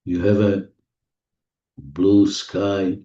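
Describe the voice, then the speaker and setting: A man leading a guided visualization in non-native English phrasing, giving gentle, repetitive instructions with deliberate pauses, recorded muffled and distant in an echoey room. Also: deep voice, flat delivery. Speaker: guided meditation leader. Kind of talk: guided visualization, spoken slowly to a listener.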